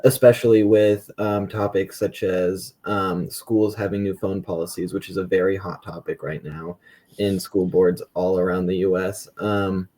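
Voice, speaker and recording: Deep, mellow young man. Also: fairly even intonation, unhurried. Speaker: student school board member, podcast guest. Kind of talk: conversational panel discussion.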